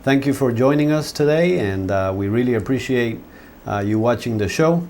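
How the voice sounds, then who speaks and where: A man hosting a local arts interview show, talking in a relaxed way with frequent fillers. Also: mid-range voice, moderate pace. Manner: friendly, relaxed with filler words. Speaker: host. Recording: faint background noise.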